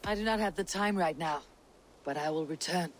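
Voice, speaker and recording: A woman's voice: deep voice, a little hiss or hum, natural voice. A woman playing a proud, stoic Viking warrior leader in a video game, speaking tersely and plainly. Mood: calm, reserved. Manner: terse, measured, matter-of-fact. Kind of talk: video game character acting. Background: game music.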